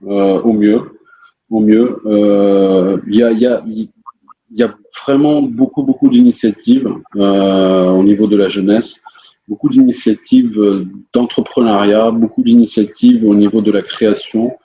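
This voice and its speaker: Ukrainian man, native Ukrainian speaker, French interview, low-pitched, halting with frequent fillers, slightly muffled recording. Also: fairly even intonation. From western Ukraine. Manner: hesitant, many fillers and restarts. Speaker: interview guest, musician. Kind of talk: casual interview answers.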